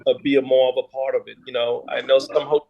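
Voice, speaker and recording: Gravelly Bahamian man. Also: mid-range voice, flat delivery, quick pace, highs cut off. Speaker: guest, leader of a Junkanoo group. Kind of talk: conversational interview.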